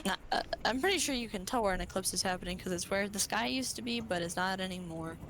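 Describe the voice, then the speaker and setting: A woman chatting casually as a co-streamer in a gaming voice chat. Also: fast. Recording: noisy voice chat, background rumble.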